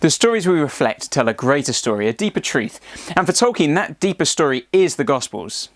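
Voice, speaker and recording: A man's voice: on the high side, sing-song, a little fast, music in the background. A man presenting a scripted explanatory narration.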